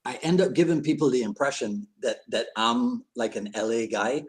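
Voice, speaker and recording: A man hosts a relaxed live-stream chat. His voice sits at an ordinary middle pitch, and he jokes easily, laughs often, and circles back over his words as he talks. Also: moderate pace. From Barbados. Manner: chatty and jokey, laughs often, repeats words as he goes. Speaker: podcast host.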